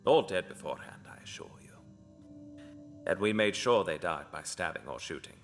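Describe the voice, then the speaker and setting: Very deep, gravelly underground tailor. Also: male voice, expressive, normal speed, soft background music. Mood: nervously explaining. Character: gruesome but apologetic underground tailor, cult member who stitches corpses. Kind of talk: audio drama acting.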